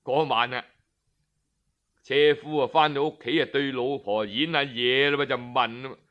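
A man narrating a folk tale in colloquial, expressive Cantonese, in the manner of a traditional storyteller. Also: medium pitch, highs cut off. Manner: colloquial, expressive, with drawn-out phrases and particles.

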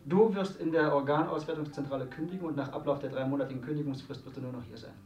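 An actor playing a self-assured, ambitious husband in a radio drama, explaining things emphatically and with self-satisfaction. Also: male voice, medium pitch, fairly even intonation, fast talker, a little mellow, echoey room, recorded from a distance, muffled recording, natural voice. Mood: explaining, pleased.

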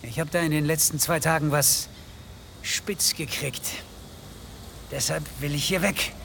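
A worn-down, sick garage owner in a radio play. His voice is higher than most men's and full of creak. He speaks in a halting, dejected, desperate way, with faint noise behind him. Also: steady tone, fairly bright tone. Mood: bitter, determined. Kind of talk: radio drama acting.

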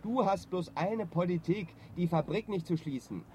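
An actor playing an eager, scheming young son, persuasive and insistent, in a German radio drama. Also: male voice, sits high, normal speed, mellow, a little hiss or hum, close to an everyday voice. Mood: persuasive, urgent. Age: young adult. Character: eager, scheming adult son with big ideas.

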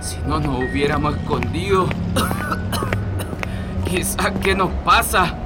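Guy acting a worn-out chilean ex-prisoner, slow high voice, resigned, radio drama. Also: lively intonation, over loud music. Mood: weary, resigned. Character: weary, resigned Chilean ex-prisoner, coughing, gentle.

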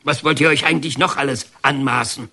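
Defiant painter, forceful, husky, bitter, sarcastic, emphatic, radio drama. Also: male voice, mid-range voice, steady tone, fairly quick, full character voice. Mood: indignant, scornful. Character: defiant, bitter male painter, banned by the regime. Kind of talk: German radio drama acting.

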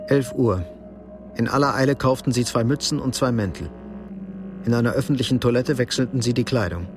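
A man narrating a radio drama from a script in a measured, sober manner. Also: medium pitch, music in the background.

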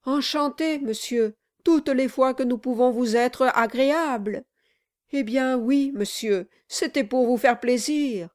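A woman voicing a servile, flattering male lawyer in an audiobook, obsequious and deferential. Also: high-pitched, slow, voice pushed up. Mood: fawning. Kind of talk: dramatized audiobook reading.

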